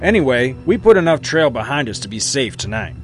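A man's voice: medium pitch, big pitch swings, brisk, lightly acted. Man voicing a skeptical cowboy over music. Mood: dismissive, practical. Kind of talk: acted comic book retelling. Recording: music under the voice.

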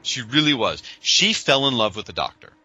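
A man's voice: mid-range voice, sing-song, normal speed, sharp tone, breathy edge, lo-fi sound. A man co-hosting a podcast, talking casually and emphatically with a fan's strong opinions. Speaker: podcast co-host. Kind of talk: casual fan discussion.

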